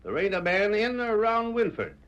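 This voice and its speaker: A man's voice: high-pitched, sing-song, a little fast, fairly bright tone. A man playing an older, plain-spoken former sheriff in an old radio western, with a folksy Western American accent and emphatic frontier talk. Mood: earnest, opinionated. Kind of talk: 1940s radio western acting. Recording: muffled old radio broadcast.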